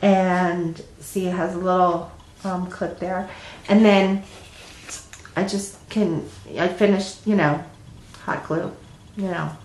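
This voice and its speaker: A woman's voice: fairly low pitch, fairly even intonation, takes it slowly, pauses often, a little mellow, echoey room, a little hiss or hum. A middle-aged woman with a stuffy cold, chatting at home in a rambling, casual way with lots of ums as she hosts her stitching vlog. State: congested with a cold. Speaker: flosstube host. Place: at home, indoors.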